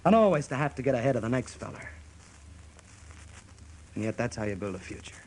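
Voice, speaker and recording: A man's voice: medium pitch, very animated, a little fast, a little mellow, poor audio. An actor in a radio play voicing a restless, unsettled young American salesman who yearns for the outdoors. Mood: frustrated, searching.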